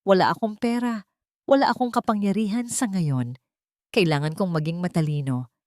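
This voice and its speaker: A woman's voice: mid-range voice, moderate pace, a little mellow, no room sound, studio quality. A woman with a Filipino accent reading a dramatic first-person story as an audiobook narrator.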